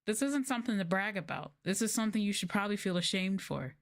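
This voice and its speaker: A woman's voice: medium pitch, quick pace. A woman chatting casually on a livestream, telling stories in informal, slangy language with an exasperated manner. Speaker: livestreamer and former English teacher.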